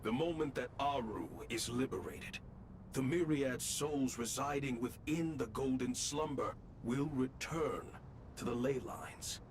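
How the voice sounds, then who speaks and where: An actor playing a solemn, dutiful saint in a video game, speaking gravely and formally. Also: male voice, a little slow, noisy background, lightly acted. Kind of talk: theatrical video game acting. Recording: clear rumbling game sound behind.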